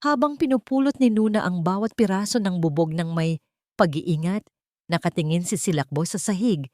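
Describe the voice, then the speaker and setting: Woman, Filipino accent, low-leaning voice, dramatic scripted storytelling, dry close sound. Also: moderate pace, a little mellow, no room sound. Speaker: storyteller, narrator.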